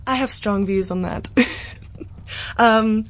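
A woman's voice: medium pitch, takes it slowly. A woman in her twenties with a South African accent, a singer-songwriter chatting casually as a guest in a radio interview. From Cape Town, South Africa. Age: 20s.

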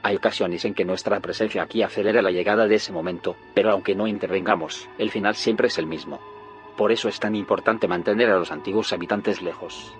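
An actor playing a grave, secretive scientist in an audio drama, solemn and explanatory. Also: male voice, lowish voice, fairly even intonation, brisk, faint music behind, natural voice. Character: grave, secretive male scientist haunted by failed timelines. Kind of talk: audio fiction drama acting.

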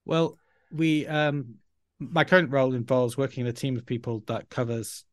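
A man's voice: medium pitch, moderate pace, no echo. Yorkshire man, dry close sound. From Yorkshire, England. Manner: rambling, self-deprecating, frequent restarts. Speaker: podcast guest, L&D leader. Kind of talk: casual interview talk.

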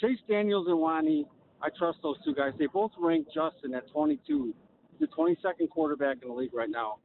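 A man's voice: fairly high pitch, sing-song, a little fast, gravelly. A man calling a sports radio show on a dull, slightly noisy phone line, arguing his opinion casually and repeating phrases.

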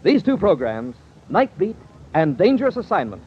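A man's voice: high-pitched, wildly swooping pitch, normal speed, husky. A man with an American accent announcing in a formal, dramatic, scripted 1950s radio style. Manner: dramatic, formal promotional delivery. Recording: dull vintage radio recording, music behind.

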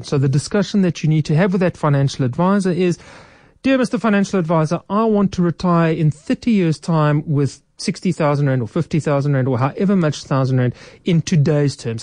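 A woman speaks as a guest in a radio studio, her voice mellow and soft-edged. She lays out financial advice in a practical, step-by-step way, with a dry, close sound. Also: low voice, fairly quick, no room sound.

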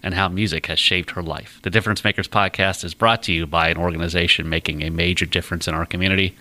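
A man hosting a podcast, reading a measured intro and then interviewing a guest. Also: low-pitched, steady tone, sharp tone, no echo. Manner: measured, read-aloud delivery. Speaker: podcast host, newspaper editor.

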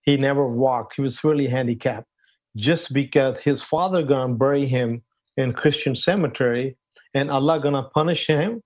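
An older man from Pakistan telling his life story as an interview guest, halting, with many fillers and non-native phrasing. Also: medium pitch, a little mellow. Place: at home, in a room. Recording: dull lo-fi sound.

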